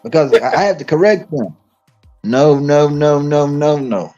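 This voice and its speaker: A man's voice: on the high side, lively intonation, measured pace, mellow, soft background music. A man from Dallas, Texas, talking casually on a live stream, emphatic and repetitive with many restarts. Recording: dull lo-fi stream audio.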